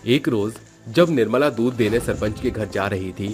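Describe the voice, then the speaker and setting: Low-voiced man narrating over music. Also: normal speed. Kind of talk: scripted dramatic story narration.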